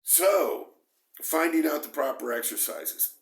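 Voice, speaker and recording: A middle-aged man vlogging to the camera about his training, hoarse from a cold and talking in emphatic, repetitive bursts. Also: fairly high pitch, expressive, measured pace. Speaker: bodybuilding vlogger. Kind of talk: casual talk to camera. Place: small dim room indoors. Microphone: camera close at face, moving. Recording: roomy echo.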